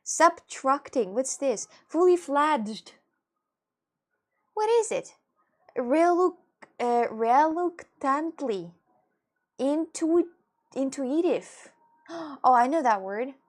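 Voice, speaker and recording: Woman, live-stream chat, fairly high pitch, hesitant, giggly. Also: expressive. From Russia. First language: Russian. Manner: hesitant, halting English with many fillers and giggles. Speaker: livestreamer.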